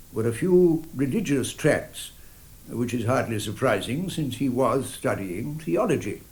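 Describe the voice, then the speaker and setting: A man playing a grave English coroner in a radio drama, speaking formally and with measured emphasis. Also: mid-range voice, darkish tone, rough recording. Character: grave, deliberate male coroner summing up at an inquest. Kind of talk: British radio drama courtroom speech.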